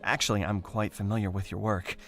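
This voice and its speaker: A fairly low-pitched young man, played by an actor, starstruck and eager to please. He speaks politely and earnestly in long admiring sentences, as in an audio drama, with faint music underneath. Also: level tone, fairly quick, a bit bright. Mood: polite admiration. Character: eager, starstruck young actor gushing over his idol.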